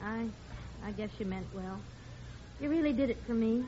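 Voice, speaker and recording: Actress as a high-voiced, long-suffering American wife. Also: female voice, moderate pace, dark tone, close to an everyday voice. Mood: forgiving, weary. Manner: emphatic, reproachful. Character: long-suffering, scolding wife of a bumbling husband. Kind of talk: 1940s radio sitcom acting. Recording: dull, noisy old radio recording.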